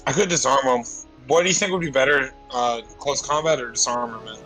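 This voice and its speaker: A man's voice: medium pitch, fairly quick, a bit bright, soft background music, rough recording. A man chatting casually over a voice call as a player in a tabletop game. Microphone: online voice call.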